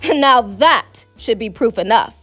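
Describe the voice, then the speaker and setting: A woman's voice: a little high, animated, takes it slowly, bright, forceful tone, heavily acted. A woman voicing a vain, pompous, easily flustered male fox in a storybook, speaking in wordy, indignant phrases, with music behind. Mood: boastful. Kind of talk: storybook character voice.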